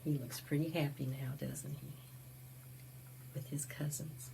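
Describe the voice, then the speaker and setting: A woman's voice: low-pitched, little pitch movement, unhurried, creaky, noisy background. A woman presenting a children's storytime, reading aloud expressively and chatting to young listeners with asides and questions. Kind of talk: read-aloud picture book storytime for preschoolers.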